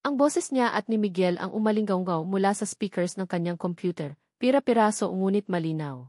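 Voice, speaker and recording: Female narrator for dramatic love stories. Solemn, suspenseful, measured delivery, mid-range voice, very dry recording. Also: medium pitch, expressive, darkish tone, dry recording. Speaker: narrator of a romance drama story. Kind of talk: scripted dramatic story narration.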